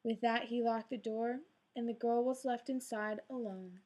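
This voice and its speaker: A woman reads a fairy tale aloud as a storyteller, shaping each line with gentle drama. Her voice sits a touch higher than most women's. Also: steady tone, normal speed. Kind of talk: read-aloud storybook narration.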